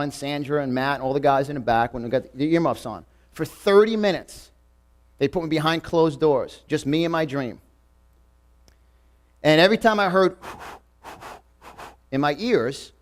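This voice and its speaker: New york guy in his 40s, motivational speaker, slightly high voice, hammers the same lines over and over. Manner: emphatic, repetitive, punchy. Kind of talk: live motivational seminar talk. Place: event room before a live audience.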